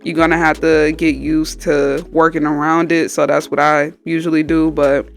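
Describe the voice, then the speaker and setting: A woman's voice: low voice, level tone, moderate pace. A woman nail technician with a stuffy, slightly nasal voice from a cold, casually explaining each step in a tutorial voice-over. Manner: chatty, explains each step as she goes. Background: faint background music.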